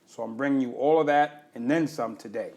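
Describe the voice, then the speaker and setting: A man's voice: medium pitch, brisk, a little mellow. A man hosting an interview, asking probing questions and echoing the guest's answers back. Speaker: interview host. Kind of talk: conversational interview.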